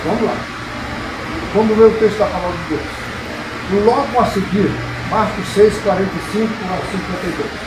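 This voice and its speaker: A house church gathering in Brazil's Northeast, where a soft-voiced male preacher speaks solemnly from far off under a loud background drone. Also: high-pitched. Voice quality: weak, soft voice. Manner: measured, solemn, deliberate phrasing. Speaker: pastor, preacher. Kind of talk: sermon with scripture reading and prayer. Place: house gathering, with a handheld microphone. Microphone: handheld microphone. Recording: echoey, distant, loud hum of background noise.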